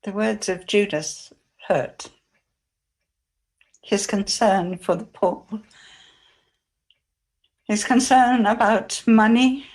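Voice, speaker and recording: Biblical drama: devoted grieving woman, played by a woman, middle register, very unhurried, tender, halting and emotional. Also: medium pitch, steady tone, darkish tone. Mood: weeping sorrow. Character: grieving devoted Mary of Bethany, tender and anguished. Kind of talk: dramatic monologue in a church service.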